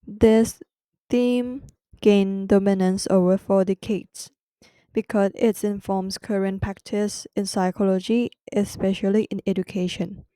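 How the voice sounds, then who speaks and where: Thai-accented woman hosting a study podcast, slow and halting, mellow tone, dry close sound. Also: mid-range voice, steady tone, smooth, no room sound. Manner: careful, halting second-language delivery with restarts. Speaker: podcast host. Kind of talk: educational podcast explanation.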